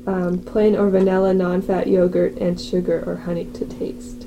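A woman chatting casually with her study group, soft-spoken and a little unsure. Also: on the low side, little pitch movement, normal speed. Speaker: language learner. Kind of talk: casual conversation. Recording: clear background noise.

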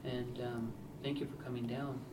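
A man's voice: medium pitch, level tone, normal speed. Man talking hesitantly in an echoey room. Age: 30s. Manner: hesitant, many fillers. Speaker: interviewer and camera operator. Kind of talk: casual interview setup. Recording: echoey room, distant noisy mic.

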